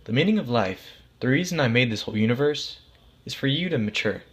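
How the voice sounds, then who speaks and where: A man's voice: medium pitch, expressive, brisk, lightly acted. A man voicing God in a story as a casual, reassuring authority figure who explains things patiently and matter-of-factly. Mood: earnest, explaining. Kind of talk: acted storybook dialogue.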